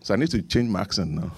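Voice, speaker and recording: Nigerian-accented pastor preaching, dry sound. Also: male voice, lowish voice, slightly rough, no room sound. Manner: preaching with repetition and asides, swinging from emphatic to quiet. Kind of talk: sermon.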